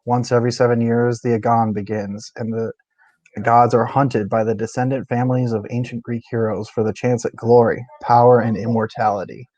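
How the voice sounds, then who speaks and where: A man's voice: medium pitch, little pitch movement, fairly quick, darkish tone, dry recording, muffled recording. A man co-hosting a book discussion stream in a casual, informal, conversational way. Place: indoors, live stream.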